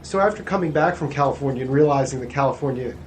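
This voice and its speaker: A man's voice: medium pitch, fast talker, a little hiss or hum, low-quality recording. A man interviewing a veteran, asking questions with hesitant restarts. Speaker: oral history interviewer.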